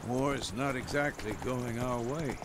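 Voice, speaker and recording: An actor playing a seasoned older monster hunter in a video game, a wry, measured mentor with a gravelly voice. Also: male voice, mid-range voice, very noisy, natural voice. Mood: sober. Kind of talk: video game cutscene acting.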